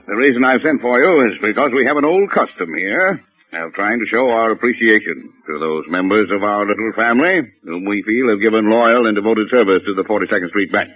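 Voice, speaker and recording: An actor playing a genial, pompous bank boss, speaking with formal ceremony in a 1940s radio drama. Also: male voice, lowish voice, lively intonation, a little fast, husky. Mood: genial, ceremonious. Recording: muffled old radio recording.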